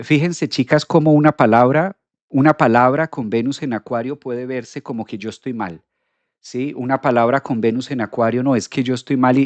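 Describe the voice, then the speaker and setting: A man talks straight to his viewers on a live astrology stream. His pitch sits in an ordinary middle range, and he repeats phrases for emphasis. The sound is dry and close, with no room echo. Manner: animated, repeats phrases, addresses audience directly. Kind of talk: live stream talk reading viewer comments.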